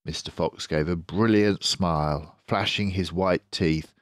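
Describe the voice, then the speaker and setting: An older man reads a children's book aloud in a measured, expressive storyteller's voice. His pace is unhurried and the recording is dry and close. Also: low voice, no room sound. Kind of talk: scripted storybook narration.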